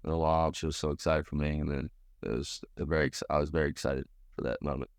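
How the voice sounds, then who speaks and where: Young man, American accent, deep smooth voice, college athlete, casual slangy interview talk. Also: steady tone, quick pace, no room sound, studio quality. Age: around 20. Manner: informal, slangy. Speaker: college baseball pitcher being interviewed.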